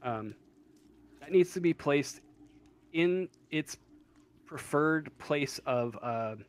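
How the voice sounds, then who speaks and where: A man working as game master, improvising narration for a tabletop role-playing game in a halting way, searching for words. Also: on the high side, lively intonation, clear, resonant tone.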